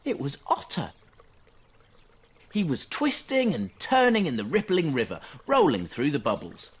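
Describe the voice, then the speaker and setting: Husky, very animated male storyteller. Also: fairly high pitch, a little slow. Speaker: bedtime story reader. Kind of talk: expressive children's storytelling.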